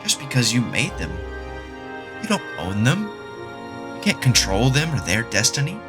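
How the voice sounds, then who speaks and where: A man's voice: mid-range voice, very animated, moderate pace, natural voice. Man acting as a sardonic, indignant scientist over music. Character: agitated, sardonic scientist, drugged but sharply articulate. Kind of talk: audio drama acting.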